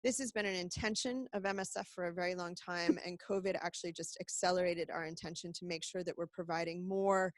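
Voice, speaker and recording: A woman's voice: lowish voice, fairly even intonation, a little fast, hardly any pauses, dry recording. An American woman, an executive director, giving a conversational and earnest webinar briefing to supporters from an office, with some fillers and restarts. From United States.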